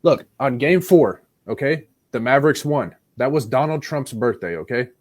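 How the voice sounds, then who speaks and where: A man's voice: mid-range voice, expressive, normal speed. Blunt, insistent man in a debate. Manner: blunt, insistent, swears freely. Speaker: panelist in a debate. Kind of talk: argumentative live debate.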